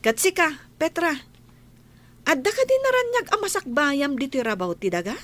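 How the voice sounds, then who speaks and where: A woman's voice: high-pitched, sing-song, normal speed, a bit bright. A woman with an Ilocano accent acting an outspoken, devout village woman who scolds gossips in a radio comedy-drama, speaking in a preachy, emphatic way. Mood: preachy, scolding.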